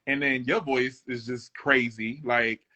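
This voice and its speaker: A man's voice: medium pitch, steady tone, measured pace, sharp tone. A man in his late thirties, a guest musician away from home, chatting in a laid-back way and often checking in with the listener. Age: 30s. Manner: laid-back, conversational, keeps asking "you know what I'm saying". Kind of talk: casual interview chat.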